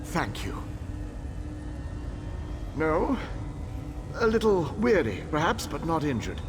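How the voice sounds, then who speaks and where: An actor playing an ancient elven king who speaks in a formal, deliberate and strategic way. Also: fairly high pitch, sing-song, music in the background. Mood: measured. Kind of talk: video game dialogue.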